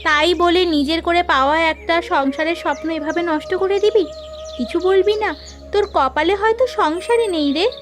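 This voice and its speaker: Best friend female performer, young married woman in a cartoon, blunt and chatty, high voice, music underneath. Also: moderate pace. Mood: chiding. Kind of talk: Bengali animated folk tale dialogue. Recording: music behind the voice.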